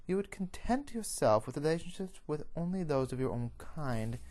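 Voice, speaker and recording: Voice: medium pitch, lively intonation, moderate pace, dark tone, voice pushed up, close to an everyday voice. A performer voicing a severe, formal female official of a monster-hunting order who gives commands. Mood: stern warning.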